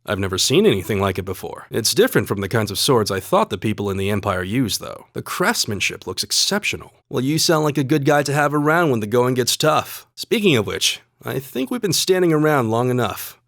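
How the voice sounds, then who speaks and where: A man voicing a calm, courteous young spear fighter from the plains in a video game, speaking in an earnest, measured, polite way. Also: mid-range voice, dry recording, studio quality. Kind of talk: scripted game dialogue and battle barks.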